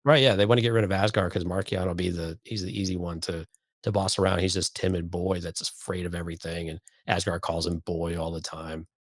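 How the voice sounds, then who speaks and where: A man's voice: sits low, flat delivery, a little fast, no echo, studio quality. A man co-hosting a podcast, giving his commentary on a story in casual conversation. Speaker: podcast co-host. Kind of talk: casual discussion commenting on an audio drama.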